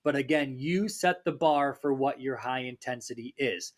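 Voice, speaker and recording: A man hosting a fitness podcast, talking in a casual, upbeat pep-talk way, his voice a little raspy from a recent cold. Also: medium pitch, moderate pace. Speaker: fitness coach and podcast host.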